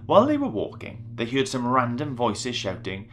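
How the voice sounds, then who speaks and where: A man reading a children's Bible story in a chatty, playful, animated way. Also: mid-range voice, normal speed, slight background noise. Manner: chatty, playful, acting out voices. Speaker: story reader. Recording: music behind the voice. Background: music.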